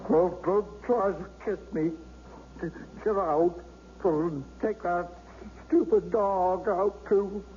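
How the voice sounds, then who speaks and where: Bitter stammering invalid, slow curt lines, dull old radio sound, faint hiss. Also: male voice, high-pitched, steady tone, darkish tone. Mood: angry. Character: bitter young man, crippled, hostile. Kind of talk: 1970s radio drama acting.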